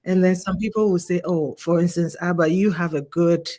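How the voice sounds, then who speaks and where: A woman's voice: fairly low pitch, steady tone, normal speed, warm tone. Woman hosting, asking long questions. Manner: welcoming, poses long questions. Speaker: host. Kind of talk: hosted interview.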